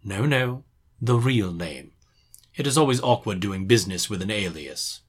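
Male actor as shrewd Victorian detective, lowish voice, courteous, formal, self-assured, drama performance. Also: animated, normal speed, husky. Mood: knowing, brisk. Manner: precise, formal, quietly mocking.

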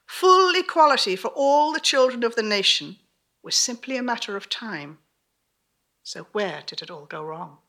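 A woman playing the ghost of a haughty, brisk Irish revolutionary countess, with old-fashioned upper-class phrasing in a theatrical stage performance. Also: a little high, pushed and bright, exaggerated voice. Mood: disappointed. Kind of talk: theatrical dramatic monologue performance.

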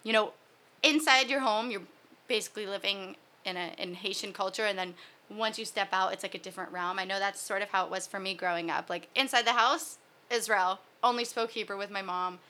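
A woman's voice: medium pitch, brisk, bright, forceful tone. A woman in her twenties hosting a casual interview, chatty and informal in how she asks questions. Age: 20s. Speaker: podcast host.

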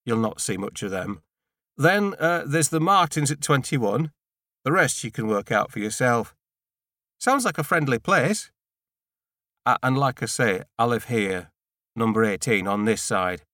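A man's voice: medium pitch, animated, unhurried, bright, forceful tone, no echo, clean studio sound. Man acting an awkward, over-explaining neighbour. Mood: awkward politeness. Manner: hesitant, rambling politeness. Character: awkward, over-explaining male dog owner, plain-spoken.

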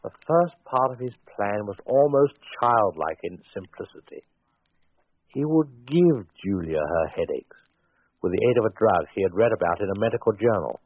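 An actor narrating a 1940s radio suspense drama as a wry, sinister storyteller, deliberate and sardonic. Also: male voice, on the low side, expressive, dry recording. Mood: ominous, sardonic. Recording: tinny 1940s radio recording over music.

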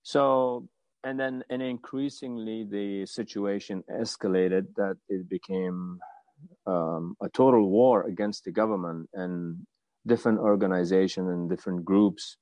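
A man in his fifties from Afghanistan telling his own story as an interview guest, with a halting, hesitant delivery. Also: on the low side, fairly even intonation, warm tone, no room sound. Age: 50s. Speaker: guest, martial arts teacher.

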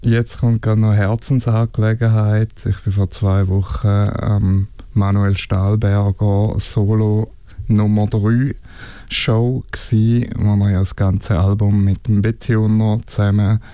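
Ein Mann mit Schweizer Akzent, der locker eine Musiksendung im Radio moderiert. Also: male voice, lowish voice, little pitch movement, measured pace, mellow, clean tone, dry recording. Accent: Swiss German. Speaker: radio music show host. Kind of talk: casual radio moderation between records. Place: radio studio.

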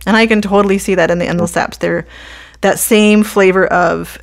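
A woman's voice: mid-range voice, fairly even intonation, normal speed, creaky. A woman hosting a conversational podcast interview. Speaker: podcast host, librarian.

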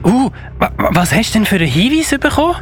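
A performer playing an eager but timid little boy in a children's Christmas radio play, with a slight excited stammer. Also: medium pitch, quick pace, faint music behind, close to an everyday voice.

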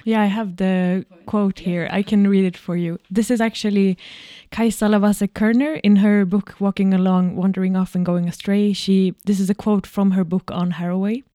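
A woman, talking loosely and casually, dropping "like" often. Her voice is mellow and warm at a middling pitch. She is recorded dry and close, with no echo. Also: fairly even intonation, moderate pace. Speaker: choreographer. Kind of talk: casual conversation.

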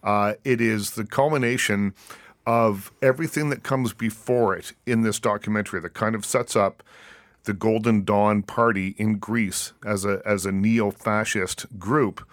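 A man's voice: lowish voice, steady tone, a little slow, no room sound, clean studio sound. Chatty man hosting an interview. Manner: chatty, stumbling restarts, laughs easily. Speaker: interview host. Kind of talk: casual interview.

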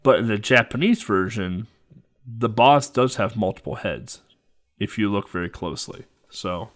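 A man with a lowish voice talks through a retro game boss fight in a loose, rambling way. He fills gaps with ums and breaks into laughs often. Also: normal speed. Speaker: game commentator. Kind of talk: casual retro game playthrough chat.

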